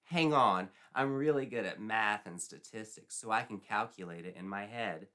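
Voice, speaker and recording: A man's voice: on the low side, moderate pace, bright, forceful tone. A man voicing an earnest, assertive teenage girl with emphatic, prim delivery in a comic storybook read-along. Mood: indignant.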